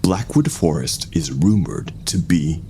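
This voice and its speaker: A man's voice: deep voice, big pitch swings, unhurried, dark tone, slight background noise. A man voicing an enthusiastic young amateur vlogger who narrates showily to an imagined audience. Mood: showy, earnest.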